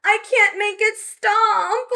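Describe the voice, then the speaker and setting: A woman's voice: very high voice, fairly even intonation, takes it slowly, vocal fry, bright, forceful tone, pitched above the performer's own voice, full character voice. A woman voicing a scatterbrained little girl witch in a storybook, exclamatory and hesitant, with a fretful edge. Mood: worried, sorry.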